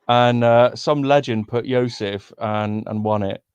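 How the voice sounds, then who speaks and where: A man's voice: mid-range voice, fairly even intonation, a little slow, a little mellow, smooth, no room sound, highs cut off. A man chatting casually on a voice call, easygoing and laughing often. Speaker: stream chat participant. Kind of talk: casual chat.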